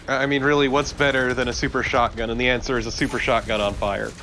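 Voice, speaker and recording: A man's voice: mid-range voice, little pitch movement, fast, bright, forceful tone, a little hiss or hum. A man co-commentating on a video game in a casual chatty style. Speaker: let's play co-commentator. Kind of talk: casual gameplay chat.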